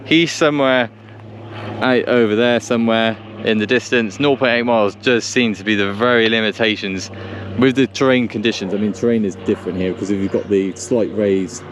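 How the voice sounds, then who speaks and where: A man giving casual running commentary and radio calls, repeating himself as he thinks aloud. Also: on the low side. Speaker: vlogger testing two-way radios. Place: outdoors on a country lane. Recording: noisy outdoor recording with vehicle sounds.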